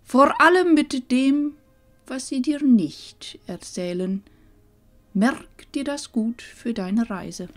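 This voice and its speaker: A woman playing a wise old village grandmother in a fantasy audio drama, calm, measured and gently probing. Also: high-pitched. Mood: knowing, gentle. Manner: measured, knowing.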